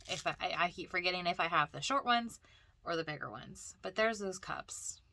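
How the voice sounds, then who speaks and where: Woman, stuffy nasal voice from a cold, chatty and rambling, crisp bright tone, dry close sound. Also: fairly low pitch, animated, moderate pace, no echo. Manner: chatty, rambling, frequent fillers and restarts. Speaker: thrift store vlogger. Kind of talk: casual narration over store footage.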